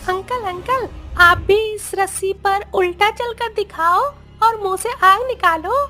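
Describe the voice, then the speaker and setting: Eager little kid, extremely high, bright sharp tone, storybook. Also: male voice, measured pace, exaggerated voice. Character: eager small child in a crowd. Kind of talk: dramatised children's story. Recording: music under the voice. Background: music.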